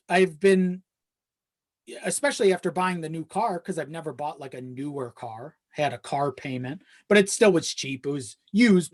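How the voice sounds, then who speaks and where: A young adult talking casually and candidly in a rambling way, with casual swearing. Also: medium pitch. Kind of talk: casual chat. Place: home office in a small self-built shed. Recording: slightly echoey unpadded shed.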